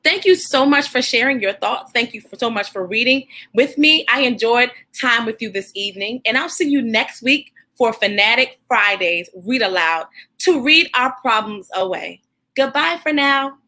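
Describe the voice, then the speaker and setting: High bright lady teacher voice, reading storytime to kids, encouraging and expressive. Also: moderate pace. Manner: encouraging, addresses young listeners directly. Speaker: read-aloud host and teacher. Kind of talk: expressive children's storybook read-aloud and chat.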